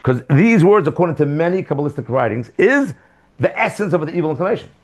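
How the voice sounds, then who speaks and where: Animated man teaching a Torah class. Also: quick pace. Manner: animated, asks rhetorical questions, sudden jumps in pitch and loudness. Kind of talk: lively Torah class lecture. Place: classroom with students.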